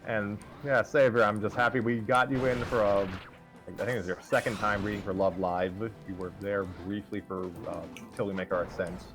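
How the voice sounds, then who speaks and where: A man's voice: lowish voice, fairly even intonation, moderate pace. A man hosting a casual group chat, talking in a hesitant, rambling way as he discusses writing. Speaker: host and writer of fan scripts. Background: background music.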